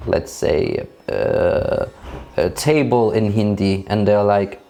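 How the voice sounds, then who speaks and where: A man's voice: lowish voice, takes it slowly, mellow, soft background music. A man from Denmark, a native speaker of Danish and Polish, talking in a casual conversation with many fillers and restarts.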